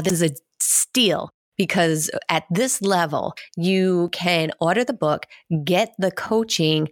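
A woman's voice: lowish voice, no room sound, clean studio sound. A woman hosting her own podcast, upbeat and chatty, promoting her work and interviewing a guest. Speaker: podcast host. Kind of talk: casual interview and self-promotion.

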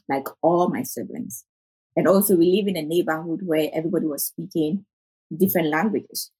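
A woman with an African accent speaks in a fairly low, husky voice, recorded close and dry. She reflects earnestly on her own experience in a conversational way. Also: a little mellow, no room sound, poor audio. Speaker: panel discussion participant.

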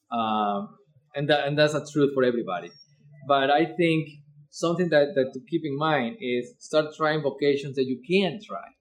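Earnest Ecuadorian man, halting. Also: fairly high pitch. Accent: Ecuadorian Spanish. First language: Spanish. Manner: earnest, halting with fillers and repeated words. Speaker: seminarian. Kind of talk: personal vocation story.

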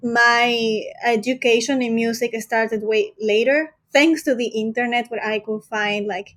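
A woman's voice: fairly high pitch, steady tone, unhurried, a bit bright. A woman from Peru, a guest musician, talking casually in loose phrases and laughing lightly now and then. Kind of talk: casual interview. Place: home in Greece.

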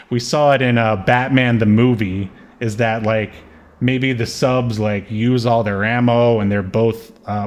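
A man co-hosting a podcast, chatting casually about a TV show and often searching for his words. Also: mid-range voice. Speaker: podcast co-host. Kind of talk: casual chat, reviewing a TV show.

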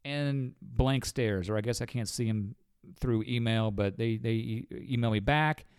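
A man's voice: mid-range voice, unhurried, smooth, no room sound, studio quality. A man in his forties with a cold, a financial advisor explaining retirement savings on a podcast in a folksy way, often calling listeners folks. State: has a cold.

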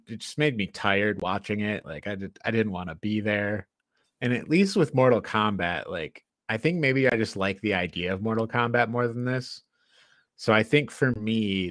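A man's voice: fairly low pitch, normal speed, fairly bright tone, dry recording, clean studio sound. A man hosting a podcast, talking casually as he reviews a film. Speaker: podcast host.

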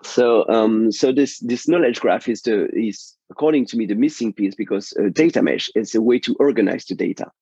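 A French-accented man speaking in a low, dark, husky voice that is fading as he loses it. He explains slowly and haltingly, stopping to restart his sentences. The recording is dry and dull, like lo-fi remote audio. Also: no room sound. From France. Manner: halting, many fillers and restarts. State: losing his voice. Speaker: guest. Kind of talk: technical interview.

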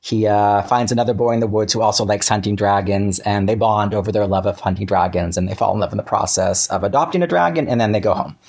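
A man speaking as an interview guest, telling things casually in a halting, self-correcting, chatty way. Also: on the low side, vocal fry, a little mellow.

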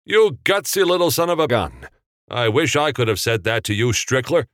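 Man performing a feisty male film director, storybook character, indignant, snappy, emphatic, pitch leaping upward, dry close recording. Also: sing-song, moderate pace, fairly bright tone, dry recording. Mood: gleeful.

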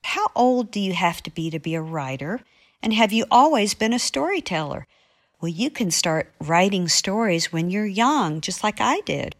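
A woman's voice: mid-range voice, lively intonation, normal speed. A woman author speaking to young listeners, answering questions in a friendly chat. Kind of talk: friendly spoken segment for children.

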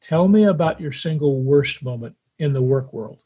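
A man in his seventies hosting a podcast interview, putting direct, deliberate questions in a conversational way. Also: on the high side, animated, husky, highs cut off.

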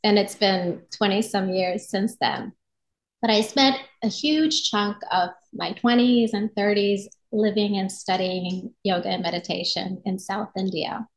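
Middle aged american woman, yoga teacher being interviewed, medium pitch, echoey room. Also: a little slow. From Japan. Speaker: yoga and meditation instructor, podcast guest. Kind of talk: reflective personal storytelling in an interview. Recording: roomy echo on voice.

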